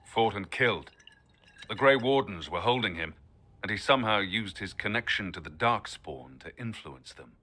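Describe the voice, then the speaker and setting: An actor playing a grim, seasoned adventurer who speaks firmly and steadily about an old enemy. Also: male voice, lowish voice, normal speed. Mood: determined. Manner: firm, steady, determined. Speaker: former adventurer helping the Inquisitor.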